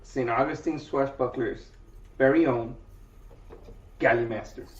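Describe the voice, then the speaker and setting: A man's voice: mid-range voice, steady tone. A man from Venezuela answers questions as a guest cook on a podcast, stumbling over fillers and restarting his sentences. He sounds distant from the mic in an echoing room, with a dull lo-fi tone. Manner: halting, many fillers and restarts. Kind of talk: casual interview answers.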